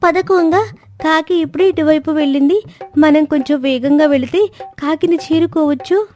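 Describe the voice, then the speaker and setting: A woman's voice: high voice, normal speed, warm tone, natural voice. A woman voicing a nosy, scheming parrot in a children's cartoon story, coaxing and questioning with a show of sympathy. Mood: urgent. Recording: music bed under the voice.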